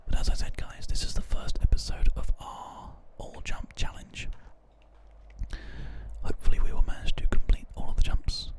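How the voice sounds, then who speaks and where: A man softly whispering rambling ASMR commentary over a video game, in a breathy, gentle voice. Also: moderate pace. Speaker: gaming ASMR host.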